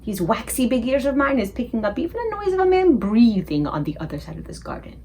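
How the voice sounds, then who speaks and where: Woman voicing a quirky, lively storybook giant, confident. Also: a little high, quick pace, breathy edge, exaggerated voice. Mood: hushed, confident. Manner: folksy ungrammatical speech with invented words. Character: big friendly giant, male, gentle, confident, quirky. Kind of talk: children's book character voice.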